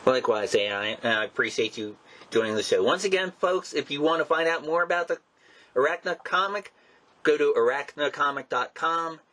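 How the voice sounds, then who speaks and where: A man hosting a show in a casual, informal way, with a halting, rambling delivery. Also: fairly high pitch, lively intonation, bright, forceful tone. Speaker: show host and interviewer. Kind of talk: casual hosting and commentary on comics history.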